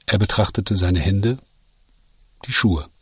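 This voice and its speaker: A man guiding the listener through scripted narration, giving deliberate instructions as an audio walk narrator. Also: sits low, slightly rough, dry recording, studio quality.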